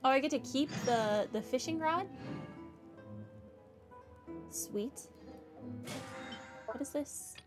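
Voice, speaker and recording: A woman's voice: high-pitched, slow pace, long pauses. A woman giving casual playthrough commentary as a game streamer. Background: game music.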